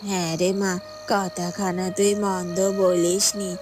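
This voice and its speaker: A woman playing a caring, sensible village foster mother in a cartoon, speaking in a measured way. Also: on the low side, little pitch movement, music in the background, natural voice. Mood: reasoning. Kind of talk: Bengali cartoon voice acting.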